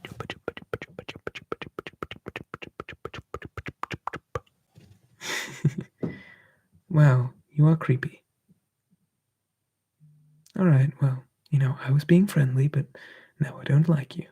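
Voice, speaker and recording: An ASMR streamer, softly whispering and musing while answering live chat on a close mic. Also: medium pitch, fairly even intonation, measured pace, pauses often, slightly rough, dull audio. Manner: soft, drifting between whisper and quiet voice, musing.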